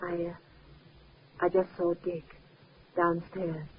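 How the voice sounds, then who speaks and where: An actress playing a timid, embarrassed widow in a 1950s radio drama, speaking in a halting, apologetic way. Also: female voice, fairly low pitch, little pitch movement. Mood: nervous, hesitant. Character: timid, embarrassed widow, soft-spoken and hesitant. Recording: muffled, narrow 1950s radio recording, hiss.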